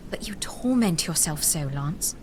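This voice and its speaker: An actress playing a gracious, animated queen in an audio drama, speaking in formal, consoling phrases. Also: on the low side, measured pace, noisy background. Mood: tender, consoling.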